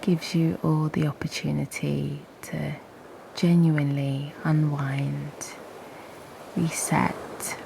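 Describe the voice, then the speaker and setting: A woman guides a meditation in a very slow, soothing delivery with long pauses. Her voice is low and breathy, often slipping into a half-whisper, with a creaky edge. Some ambient noise sits behind her. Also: poor audio. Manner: gentle, unhurried, with long pauses.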